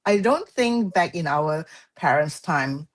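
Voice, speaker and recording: Woman in her thirties from Malaysia, low voice, unhurried guest answering questions. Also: expressive. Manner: soft-spoken, thoughtful, frequent "um" pauses. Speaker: guest artist. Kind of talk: casual interview.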